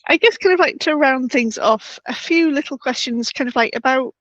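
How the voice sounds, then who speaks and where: A woman's voice: sits high, moderate pace, fairly bright tone, no echo. A woman hosting a casual interview, talking in loose, conversational phrasing on a slightly lo-fi microphone. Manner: loose phrasing with frequent "kind of like". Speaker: interview host. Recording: dull, slightly lo-fi close microphone.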